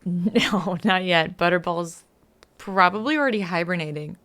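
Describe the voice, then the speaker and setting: Young adult female streamer, slightly low smooth voice, relaxed group conversation. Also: moderate pace. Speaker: streamer and script reader. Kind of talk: casual group chat.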